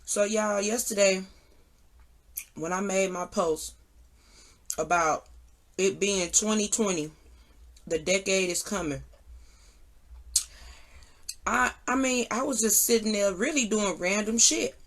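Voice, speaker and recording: A woman's voice: medium pitch, takes it slowly, long pauses. A woman chatting casually and candidly to her viewers, slangy and emphatic, repeating phrases for effect. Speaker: online tarot and energy reader, channel host. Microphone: phone or similar device propped nearby. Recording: noisy recording with clicks.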